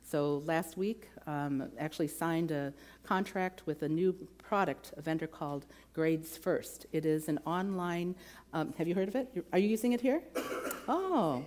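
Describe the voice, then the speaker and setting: A woman's voice: low voice, normal speed, darkish tone. Middle-aged woman giving a campus talk. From Midwestern United States. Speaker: provost candidate. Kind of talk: campus forum presentation. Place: lecture hall on campus.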